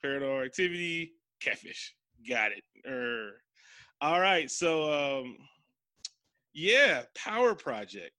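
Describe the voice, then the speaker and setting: A man's voice: fairly high pitch, very animated, slow, pushed and bright, no room sound. A man co-hosting a podcast, chatting casually about a movie in a loose, joking way and swearing freely. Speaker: podcast co-host. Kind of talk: casual movie review chat.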